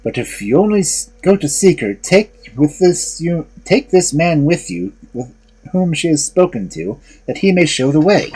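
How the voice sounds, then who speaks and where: A man's voice: on the high side, very animated, moderate pace, darkish tone, breathy edge, voice pushed up, poor audio. A man voicing the hero's insistent kinsfolk in a fairy tale as they explain and confess.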